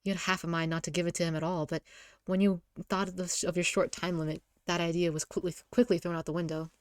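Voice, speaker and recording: A woman with a slightly low voice narrates a visual novel in a dry, close recording. She reads informally, prone to giggles, and often trips on a phrase and repeats it. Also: flat delivery, fast, no room sound. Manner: giggly, often stumbles and rereads lines.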